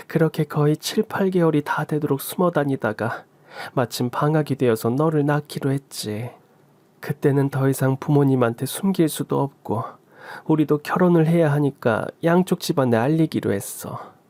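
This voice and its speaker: Man reading as regretful middle-aged mother, soft apologetic tone, dry close sound. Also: medium pitch, normal speed, no room sound, lightly acted. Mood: confiding. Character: remorseful birth mother, middle-aged woman.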